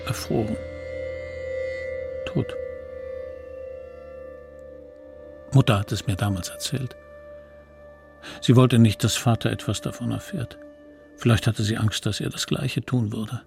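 A man playing a troubled narrator who recalls his boyhood guilt in a radio play, measured and brooding. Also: medium pitch, gravelly, faint music behind. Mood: haunted, subdued.

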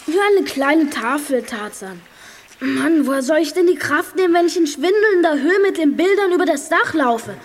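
Naive chubby-cheeked kid sidekick, high voice, easygoing, puzzled by everything; children's radio play, slight noise. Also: female voice, lively intonation. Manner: guileless, slow on the uptake. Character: naive, easygoing chocolate-loving schoolboy sidekick. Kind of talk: German children's audio drama acting.

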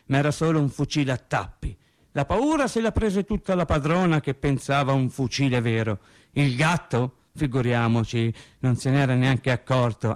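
A male actor playing a wry storyteller recalling boyhood with childlike logic, in an expressive, conversational theatrical monologue. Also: fairly high pitch, close to an everyday voice. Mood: wry, self-justifying. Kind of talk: theatrical first-person radio monologue.

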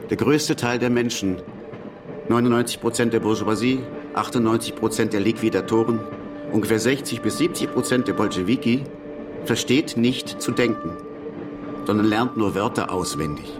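A man's voice: fairly low pitch, moderate pace, close to an everyday voice. An actor playing a didactic, exacting male revolutionary leader in a radio drama, lecturing precisely. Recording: distant voice under loud music.

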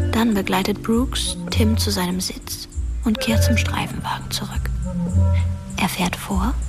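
An actress playing a dead teenage girl, narrating a radio drama in a breathy hush with dreamy melancholy. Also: mid-range voice, close to an everyday voice. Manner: breathy, hushed, whispered narration. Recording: studio voice over music.

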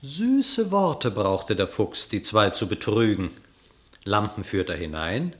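A man narrating a classic verse fable in a radio play, measured and expressive. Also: on the low side. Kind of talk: scripted storytelling narration in verse.

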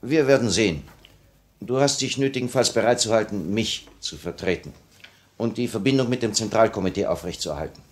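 An actor playing the composed leader of a revolutionary cell in a 1950 radio play, speaking in a measured, commanding way. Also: male voice, fairly low pitch, close to an everyday voice. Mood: calm, assured. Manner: measured, commanding, proclamatory at times.

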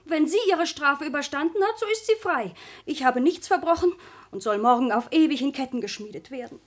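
A lovelorn young daughter in a radio comedy. Her voice is very high, with an earnest, plaintive tone. She delivers her lines theatrically, as a dutiful girl who objects. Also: expressive, a little fast. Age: young adult.

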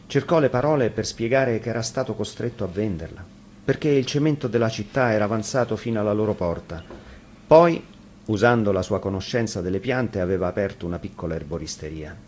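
A man's voice: fairly low pitch, a little mellow. A man reads a fairy tale aloud as a storyteller, in scripted narration. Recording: faint noise, live radio broadcast.

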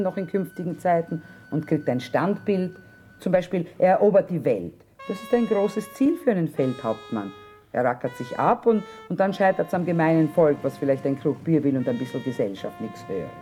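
Tough middle-aged trader mom in a radio play, lowish voice, plain earthy talk, music around. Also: female voice, mellow. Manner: earthy, plain-spoken, sober. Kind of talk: stage-style German radio drama acting.

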